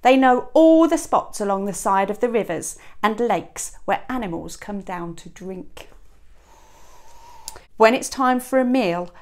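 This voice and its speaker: Teacher lady in her 30s reading a kids book out loud, dramatic pauses, mid pitched voice. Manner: expressive, dramatic emphasis and pauses. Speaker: teacher reading to a young class. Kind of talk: children's picture book read-aloud. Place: small classroom, indoors. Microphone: clip-on lapel mic.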